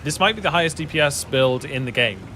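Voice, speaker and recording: A man's voice: on the high side, expressive, fast, pushed and bright, slight background noise. A man in his thirties from West Wales with a Welsh accent, chatting casually to his stream while he plays, swearing often and laughing easily. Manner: swears casually, laughs a lot, rambles to chat. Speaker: game streamer. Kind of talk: casual live-stream chat. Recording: music playing behind.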